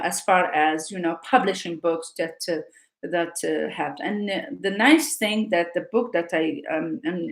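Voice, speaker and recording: A woman's voice: fairly low pitch, reverberant. A woman teacher from Africa, talking casually and hesitantly, with many fillers and restarts. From North Africa. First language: Arabic. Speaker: Arabic teacher. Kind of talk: casual live talk.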